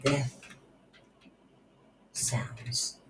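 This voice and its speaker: A woman giving an unscripted screen-recorded coding tutorial, thinking aloud in halting short phrases. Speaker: tutorial instructor. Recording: roomy echo, audible background noise.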